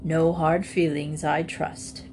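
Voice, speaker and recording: Lady putting on a smooth old alligator guy, slow and oily, lowish voice, faint hiss behind. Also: level tone, a little mellow. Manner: unctuous, falsely polite. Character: ancient sly male alligator, smooth-talking.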